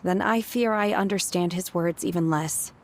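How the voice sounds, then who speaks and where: A woman voicing a reserved, earnest young exorcist who speaks quietly and carefully in a video game. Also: fairly low pitch, close to an everyday voice. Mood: pensive. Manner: slow, quiet, measured, earnest.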